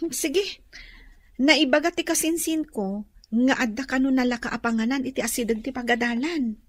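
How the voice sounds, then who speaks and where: A woman's voice: high-pitched, normal speed, dry recording. An actress playing a chatty young female student and loyal friend in an Ilocano radio drama. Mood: cheerful.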